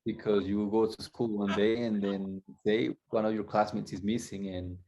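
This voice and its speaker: Low gravelly-voiced man from Colombia. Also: little pitch movement, darkish tone, slight background noise, low-quality recording. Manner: reflective, halting with restarts. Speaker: guest coach. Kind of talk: candid storytelling.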